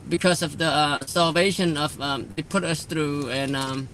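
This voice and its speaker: A man in his fifties from Cambodia, speaking haltingly as a radio interview guest, with many fillers and restarts. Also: a little high, fairly even intonation, a bit bright, slight background noise, rough recording. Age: 50s. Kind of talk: phone-in interview.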